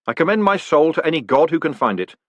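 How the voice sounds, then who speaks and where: A man voicing a panicked young male con man who talks in frantic, high-strung bursts. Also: very animated, quick pace, no echo, dull audio. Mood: pious.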